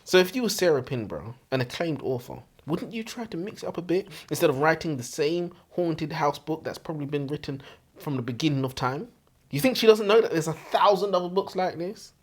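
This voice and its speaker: A man co-hosts a book review with very lively, swinging intonation. He is blunt and exasperated, swearing freely as he gives his opinions in casual chat. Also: fairly high pitch, a little fast. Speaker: co-host of a book review show.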